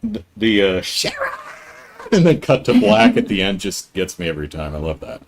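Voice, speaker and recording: Low-voiced man hosting a podcast. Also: sing-song, moderate pace, slight background noise. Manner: rambling, many ums and restarts. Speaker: podcast host. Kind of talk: casual live-stream interview.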